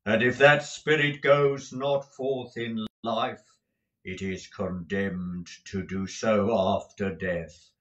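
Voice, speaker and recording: Storybook chained ghost, male, voiced by a man, slow, grave, mournful, words drawn out. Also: fairly low pitch, steady tone, a bit bright, muffled recording. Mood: solemn.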